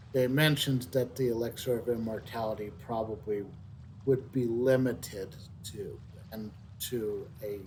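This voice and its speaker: Slow-talking man musing over game music. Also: medium pitch, low-quality recording. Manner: halting, reflective, repeats words as he thinks. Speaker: game streamer. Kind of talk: casual unscripted commentary over a video game. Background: soft video game music.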